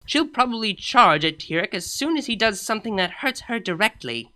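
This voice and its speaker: A woman's voice: medium pitch, expressive, a little fast, sharp tone, pitched above the performer's own voice. A woman reading a fan story aloud, voicing a dry, teasing younger royal pony princess who speaks matter-of-factly. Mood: sarcastic. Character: sarcastic younger royal mare princess sister.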